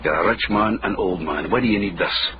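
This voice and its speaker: An actor playing a shrewd, defiant Edinburgh caddy in a period radio drama, with a Scottish accent and a sardonic, accusing manner. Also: male voice, sits low, a little fast, lightly acted. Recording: muffled, noisy old radio drama recording.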